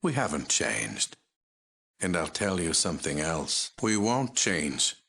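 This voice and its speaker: A man's voice: deep voice, big pitch swings, creaky, bright, forceful tone, breathy edge, exaggerated voice. A man voicing a megalomaniac mutant overlord in a video game, cold, menacing and commanding. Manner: slow, commanding, imperious. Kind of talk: video game villain voice acting.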